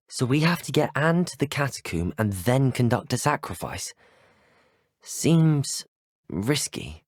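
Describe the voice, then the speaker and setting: Polite, expressive British schoolboy hero. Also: male voice, mid-range voice, dry recording, studio quality. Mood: wary, questioning. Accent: British English. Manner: polite, articulate, well-spoken. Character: earnest, polite teenage boy student at a wizarding school. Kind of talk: video game dialogue acting.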